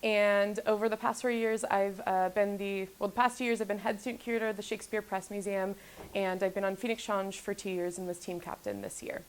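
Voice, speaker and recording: A woman's voice: medium pitch, flat delivery, fairly quick, low-quality recording. Young woman, earnest, affectionate, conversational, graduating student. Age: young adult. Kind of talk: casual personal reminiscence.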